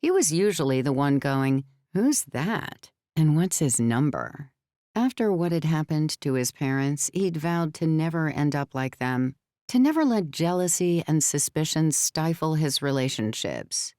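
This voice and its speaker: Low-voiced woman narrating a novel. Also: very animated, a little mellow, no echo, clean studio sound. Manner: measured, storytelling. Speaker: audiobook narrator. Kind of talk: scripted fiction narration.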